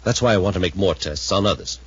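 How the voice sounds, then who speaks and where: An actor playing an earnest, guarded scientist in a 1950s radio drama. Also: male voice, low-pitched, quick pace, slightly rough. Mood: hesitant, intent. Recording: dull 1950s radio recording.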